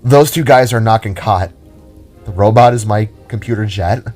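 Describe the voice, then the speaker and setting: A man playing an earnest male spark in an audio drama, speaking in a halting, heartfelt way. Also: on the low side, darkish tone, soft background music, natural voice. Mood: proud, heartfelt. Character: earnest male blue spark, moved by reunion.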